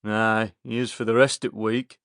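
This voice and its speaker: A man's voice: lowish voice, level tone, moderate pace, a bit bright, no echo, studio quality. A man voicing a bitter, fed-up village smallholder with a Yorkshire accent in an audiobook. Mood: bitter, complaining.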